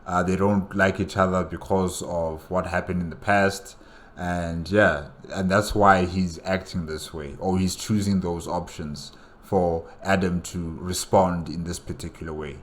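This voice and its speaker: Man, reaction host, very deep voice, musing, hesitant, casual. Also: steady tone, a little slow.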